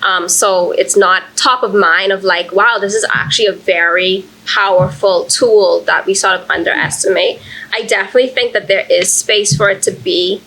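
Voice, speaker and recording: Bright-voiced woman on a panel. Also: mid-range voice, normal speed, breathy edge, poor audio. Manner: casual, chatty, fillers. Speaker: panelist, archive founder. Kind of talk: conference panel discussion. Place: conference session room.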